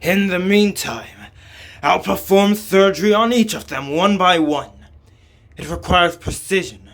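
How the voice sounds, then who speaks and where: A man voicing a calm, devoted surgeon in a game, with a deliberate, explanatory manner. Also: high-pitched. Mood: calm, resolute. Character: calm male surgeon devoted to protecting humans. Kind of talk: scripted game dialogue reading.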